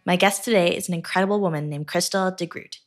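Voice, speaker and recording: A woman's voice: fairly low pitch, fast, faint music behind. A woman hosting a podcast, reading a scripted intro and then interviewing a guest with an upbeat, enthusiastic manner. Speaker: podcast host.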